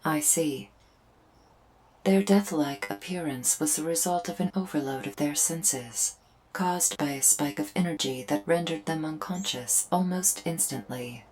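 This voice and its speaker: A woman's voice: deep voice, unhurried, darkish tone, low-quality recording. A woman playing a remorseful alien invader in an ASMR roleplay, speaking in formal, precise, stilted phrases. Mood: earnest, apologetic. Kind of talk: scripted ASMR roleplay to the listener.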